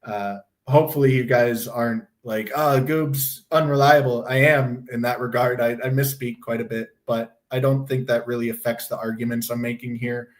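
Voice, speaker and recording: A young man chats casually on his livestream, rambling from point to point. His voice is hoarse and going from a sore throat. He is blunt and swears without a second thought, at an ordinary middle pitch. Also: steady tone, normal speed, hardly any pauses. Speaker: livestream host.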